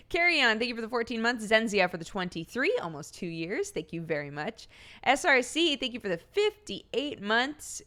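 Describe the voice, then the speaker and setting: A woman's voice: mid-range voice, fairly bright tone. A woman streamer with a slightly phlegmy throat, chatting casually, laughing often and thanking subscribers one by one. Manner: giggly, laughs often between lines.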